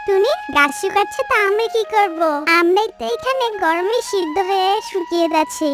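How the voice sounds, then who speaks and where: A woman's voice: extremely high pitch, animated, moderate pace, music in the background. A woman voicing a small, childlike, plaintive talking creature in a children's cartoon. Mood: reluctant.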